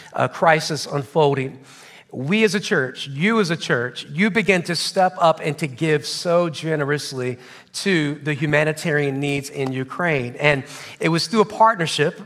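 A man's voice: fairly high pitch, a bit bright. A man, a pastor, introducing a guest from a church stage, upbeat and emphatic.

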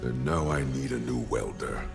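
An actor playing a huge, cold, mocking crime boss in a video game, drawing out words with menace. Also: male voice, a bass voice, steady tone, creaky, loud music behind the voice. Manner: slow, drawn-out, menacing delivery. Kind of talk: cinematic video game acting.

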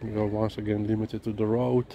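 A man talking haltingly on a dog walk outdoors, filling pauses with "you know" and "um". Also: on the low side, flat delivery, warm tone. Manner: halting, many fillers and restarts. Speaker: dog-walking vlogger. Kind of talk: casual walk-and-talk monologue. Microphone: camera held low, walking. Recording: outdoor noise behind the voice.

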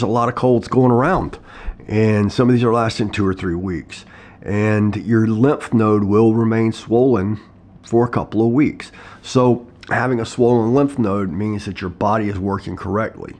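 A middle-aged man speaks with a hoarse, cold-roughened throat and a somewhat low voice. He talks plainly to a camera in his living room, pausing on ums and restarting sentences. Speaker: vlogger sharing his health story. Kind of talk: casual talk to camera. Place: living room at home, indoors.

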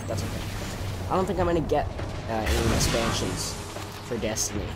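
Young man, sick with a cold, casual rambling commentary, loud game music under the voice. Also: medium pitch, animated, brisk. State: has a cold, sore voice. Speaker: gameplay commentator. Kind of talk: let's play commentary. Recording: voice over loud game audio.

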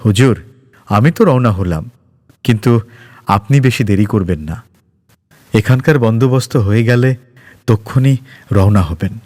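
A man's voice: on the low side, measured pace, long pauses, a little mellow, no echo, studio quality. A man voicing a loyal manservant who is deferential yet a little self-important, in a story reading. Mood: officious.

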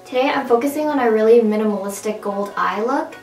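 A young woman with a raspy, strained voice chatting casually through a makeup tutorial at home. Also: fairly high pitch, a little fast, a little mellow, roomy sound, soft background music. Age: young adult. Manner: chatty, upbeat, casual with fillers. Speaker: beauty vlogger. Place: small room at home, indoors.

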